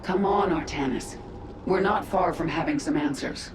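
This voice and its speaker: A woman's voice: on the low side, moderate pace, noisy background, lightly acted. An actress playing a tough, wary female warrior in a game cutscene, speaking bluntly and informally. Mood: impatient, curious. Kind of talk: dramatic game cutscene acting. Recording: echoing voice over cinematic music.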